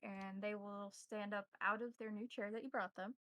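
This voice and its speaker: A woman's voice: mid-range voice, fairly even intonation, normal speed, pushed and bright, dry recording. A woman narrating a tabletop roleplaying game as the game master.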